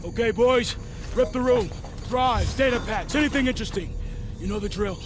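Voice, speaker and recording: A man's voice: very high-pitched, moderate pace. A man acting a resolute, urgent military squad leader in a video game, giving clipped orders. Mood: focused command. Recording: loud music and vehicle noise behind. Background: loud music, vehicles.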